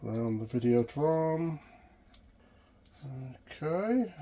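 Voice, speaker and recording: Slow-talking man, mellow and fairly high. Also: big pitch swings. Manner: tentative, murmuring short fragments while working. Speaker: vintage electronics hobbyist and presenter. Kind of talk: casual hands-on demonstration, thinking aloud.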